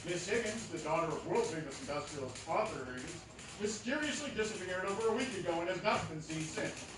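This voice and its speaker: A man's voice: high voice, animated, fairly quick. A man playing a formal, dramatic 1940s radio newscaster and narrator. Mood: formal, newsy. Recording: echoey hall, distant mic, loud music.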